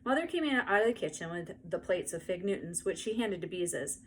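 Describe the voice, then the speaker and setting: A woman narrating a children's book aloud in an expressive storytelling style. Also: lowish voice, brisk. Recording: faint background noise.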